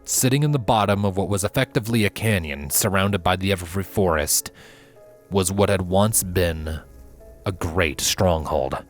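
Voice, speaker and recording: A man with a low voice narrates a fantasy tale. His delivery is measured, solemn and dramatic, with faint music underneath. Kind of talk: dramatic scripted story narration.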